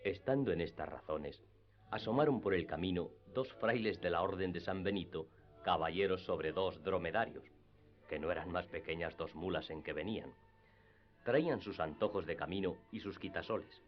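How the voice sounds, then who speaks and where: A man's voice: deep voice, moderate pace. A man narrating a literary radio drama from a script. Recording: slightly dull radio recording. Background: music.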